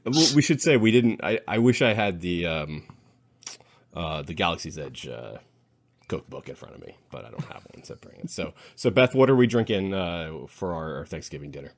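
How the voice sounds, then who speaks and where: Casting: man, very low-pitched, casual podcast co-host, playful, relishes his descriptions. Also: very animated, normal speed. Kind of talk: casual playful panel chat.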